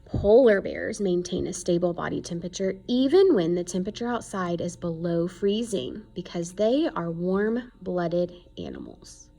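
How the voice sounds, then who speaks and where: A woman's voice: on the low side, big pitch swings, moderate pace, gravelly, few pauses, warm tone. A woman reading an educational children's book aloud in an explanatory way, stressing key words. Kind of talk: read-aloud educational narration.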